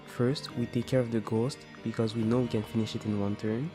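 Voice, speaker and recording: A man's voice: mid-range voice, flat delivery, fairly quick, music in the background. A man giving explanatory let's play commentary as a voice-over on a video game. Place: voice-over recorded over game music.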